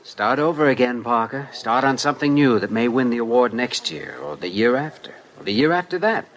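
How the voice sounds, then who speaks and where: Animated, earnest young doctor. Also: male voice, mid-range voice, a little fast, lo-fi sound. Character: earnest, supportive younger male doctor assistant. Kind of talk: 1950s radio drama acting. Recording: noisy vintage 1950s radio recording.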